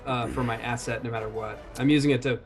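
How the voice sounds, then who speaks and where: A man's voice: mid-range voice, fairly even intonation, quick pace, soft background music. A man chatting casually as a player in a roleplaying game, jokingly describing what his character does.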